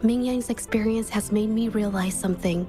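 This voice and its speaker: A young woman playing an earnest, gentle painter in a game, soft-spoken and measured in her reflective delivery. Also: medium pitch, fairly even intonation, over music, lightly acted. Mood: earnest, resolute. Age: young adult. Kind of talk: dramatic game dialogue and reflective narration.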